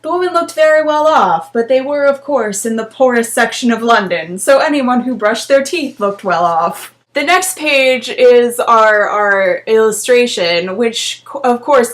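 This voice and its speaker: A woman with a fairly high voice reads a story aloud in a theatrical way. She breaks in with sardonic, deadpan remarks that poke fun at the writing. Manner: deadpan, mocking asides at own writing. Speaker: book vlogger and host. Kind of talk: dramatic reading with sardonic commentary.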